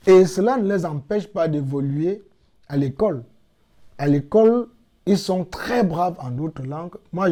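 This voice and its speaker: A middle-aged man from Cameroon speaks as an interview guest, explaining points patiently and repeating key phrases for emphasis. His voice is mellow and warm, sitting a little high for a man. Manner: measured, explanatory, emphatic repetitions. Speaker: interviewed guest, language teacher. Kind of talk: interview answers.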